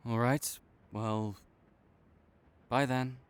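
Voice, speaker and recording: A man playing a tsundere supervillain in an audio roleplay, halting and exasperated, teasing and reluctantly caring toward a rival. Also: mid-range voice, fairly even intonation, smooth. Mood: sheepish, teasing. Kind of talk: intimate audio roleplay addressed to the listener.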